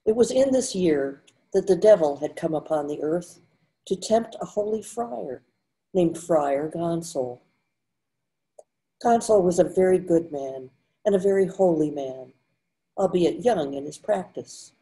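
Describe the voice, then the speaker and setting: An older woman narrating a scripted story in a measured read-aloud manner. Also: lowish voice, lively intonation, warm tone, poor audio. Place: indoors at home, video call.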